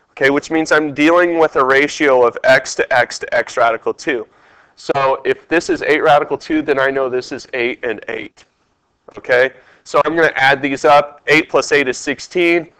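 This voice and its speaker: Congested male teacher, mid pitch, dry close mic, quizzes the class on each step. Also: fairly bright tone, no echo, low-quality recording. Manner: talks through steps, quizzing the class. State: stuffed up, congested. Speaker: math teacher. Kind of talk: test review lesson over screen capture.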